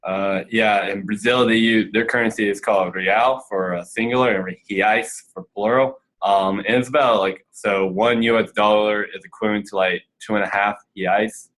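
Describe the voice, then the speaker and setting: A relaxed twenty-one-year-old man talks through his answers on a student panel. His voice is fairly deep. He takes his time, with plenty of ums and likes. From United States. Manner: relaxed, many fillers, unhurried. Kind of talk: casual Q&A answers.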